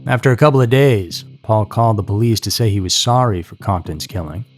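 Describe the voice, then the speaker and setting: A man's voice: lowish voice, fairly quick. A man reading scripted true-crime narration as a narrator. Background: music.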